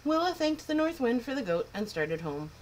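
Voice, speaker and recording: A woman reading a picture book aloud to children in an expressive, lilting way. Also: fairly high pitch, normal speed, slight background noise. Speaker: children's storyteller. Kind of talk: storybook read-aloud.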